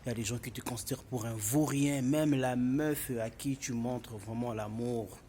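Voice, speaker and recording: A man with a Congolese French accent shares his story as a casual vlogger. His voice sits at an average pitch, and he drives points home by repetition, speaking straight to his listeners like friends. Noticeable ambient noise runs behind the recording. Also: normal speed, warm tone. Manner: emphatic, repetitive, addressing listeners as buddies. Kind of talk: casual personal storytelling to camera. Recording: clearly noisy ambient background.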